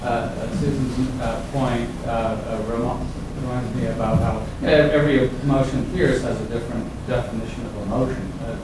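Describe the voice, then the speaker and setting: A man's voice: mid-range voice, fairly even intonation, moderate pace, few pauses, background noise. A man on an academic panel, speaking hesitantly with frequent uhs. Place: conference room. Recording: echoey room from far off.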